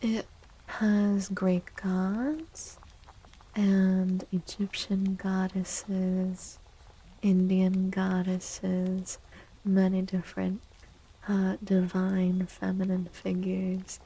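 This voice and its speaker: A woman streamer chatting in soft-spoken ASMR style, gentle and unhurried, often slipping into a whisper. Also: medium pitch, monotone, husky, low-quality recording. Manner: unhurried, gentle, pausing between short phrases. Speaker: livestream host.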